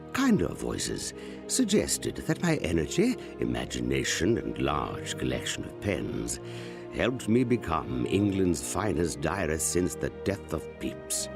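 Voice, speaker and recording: A man's voice: rumbling low, gravelly, exaggerated voice. An actor playing a vain, elderly English aristocrat narrating his memoirs in a pompous, wordy manner for a radio comedy. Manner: pompous, wordy, self-regarding. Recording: narration over music.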